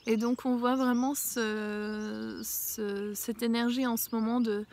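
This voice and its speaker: A woman with a head cold doing a casual spiritual card reading outdoors. She pauses often with fillers and sometimes drops to a hushed near-whisper. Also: a little high, little pitch movement, slight background noise. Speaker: oracle card reader. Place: outdoors in a small mossy spot with violets.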